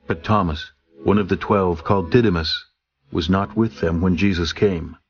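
A man narrating scripture in a solemn, steady reading. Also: deep voice, moderate pace, muffled recording. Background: soft music.

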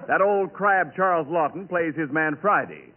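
A man's voice: on the high side, normal speed. A man announcing and reading ads in 1940s radio style, with polished, emphatic salesmanship. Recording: tinny band-limited 1940s radio recording.

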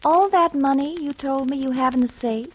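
An actress playing a scheming, coaxing maid and companion in an old-time radio drama, with an insinuating manner. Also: female voice, high voice, normal speed, lightly acted. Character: scheming, sly young female housemaid-companion, coaxing.

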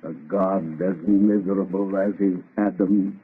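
A man's voice: deep voice, fairly even intonation, unhurried, breathy edge. An actor playing a sorrowful, tormented man-made monster with solemn, declamatory phrasing in an old radio drama. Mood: solemn, mournful. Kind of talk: 1930s radio drama acting. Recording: muffled, tinny old radio recording.

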